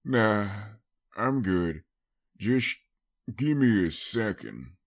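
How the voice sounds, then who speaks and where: A man's voice: fairly low pitch, expressive, extremely slow, voice pushed up, heavily acted. A man voicing a good-natured, fatherly older stallion with a folksy, easygoing, slightly boastful manner in a storybook reading. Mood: confident.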